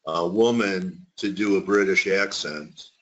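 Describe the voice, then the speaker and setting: A man with a fairly low voice hosts a casual trading webinar. He talks in a chatty, complimentary way. The audio is somewhat muffled, as on an online call. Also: unhurried, a bit bright. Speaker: webinar host. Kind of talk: casual market webinar chat.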